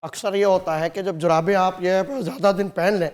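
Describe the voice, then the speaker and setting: A man with a hoarse throat, trading banter and telling jokes on a comedy talk show in a studio. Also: high voice, steady tone, moderate pace, heavy background noise. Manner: keeps pushing jokes over protests.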